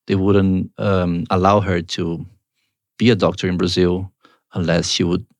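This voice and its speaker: A man in his thirties from Argentina, a startup co-founder answering interview questions in a hesitant way, with many pauses and restarts. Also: low voice, level tone, no echo, studio quality.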